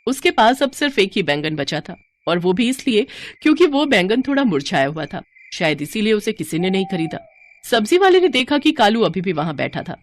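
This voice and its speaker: A woman's voice: medium pitch, big pitch swings, fairly quick. A woman narrating a scripted children's moral story as storyteller.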